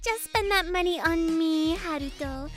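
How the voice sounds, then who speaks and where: Very high, catty party girl. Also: unhurried, soft background music. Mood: coaxing, snide. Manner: catty, haughty.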